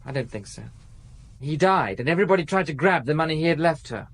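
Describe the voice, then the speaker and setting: A man's voice: fairly high pitch, lively intonation, fast, a bit bright. An actor in his twenties playing an evasive young male drug addict in a radio drama, talking in a sullen, guarded way. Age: 20s.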